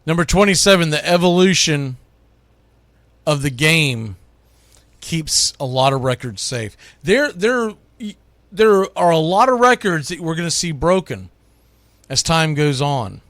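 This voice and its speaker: Male sports radio host, slightly high voice, casual countdown monologue, opinionated, emphatic, dry close recording. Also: very animated, a little slow, a bit bright, no echo.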